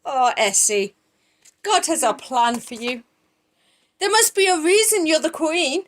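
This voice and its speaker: A woman playing an excited male cousin and royal guard in a church skit, with a put-on wobbly mixed accent and a breathless, gushing way of talking. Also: high-pitched, measured pace, pitched above the performer's own voice. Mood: doting.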